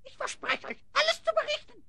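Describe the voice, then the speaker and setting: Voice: extremely high pitch, a little fast, vocal fry, sharp tone, no room sound, full character voice. An actor voicing a scheming, whining male hyena spy who cackles and speaks theatrically in rhyme. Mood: whining, self-pitying.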